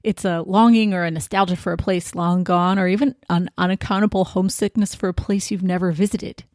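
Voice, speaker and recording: A woman's voice: lowish voice, fairly even intonation, a little fast, a little mellow, no echo. American woman podcaster, dry close sound. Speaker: podcast host. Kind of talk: prepared literary podcast talk.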